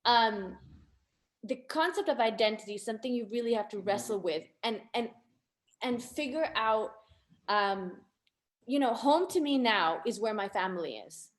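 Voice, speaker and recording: A woman's voice: a little high, animated, moderate pace. A woman in her thirties from Tanzania, talking openly and reflectively as a guest on a video call from home, with many fillers. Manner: open, reflective, lots of fillers. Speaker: guest photographer. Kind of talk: casual discussion. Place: home room. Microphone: video call laptop camera. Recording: echoey room.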